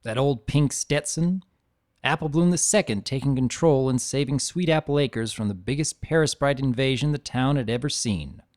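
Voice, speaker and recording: A man voicing an aged, gentle dragon in a dramatic reading, reflective and sentimental as he tells his own story. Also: medium pitch, moderate pace, close to an everyday voice. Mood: nostalgic pride. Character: aged dragon, gentle, nostalgic, fondly guarding keepsakes.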